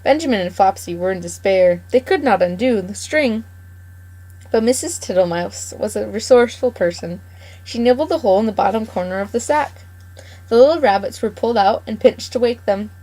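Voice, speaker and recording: A woman reads a children's storybook aloud, gentle and expressive, lingering on the story's moments. She stumbles now and then and calmly corrects herself before moving on. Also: medium pitch, rough recording. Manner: gentle, expressive read-aloud with small slips and self-corrections.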